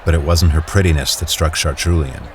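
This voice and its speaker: A man narrating an audio drama in a measured, descriptive way. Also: extremely low pitch, background noise. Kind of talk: scripted narration. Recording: music score under narration.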